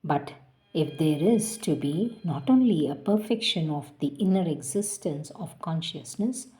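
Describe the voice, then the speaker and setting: A woman reads a spiritual text aloud at a very slow, unhurried pace. Her voice is mellow and fairly low. She is measured and reverent, and her phrases fade softly at their ends. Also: animated. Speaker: devotional reader. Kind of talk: slow devotional reading.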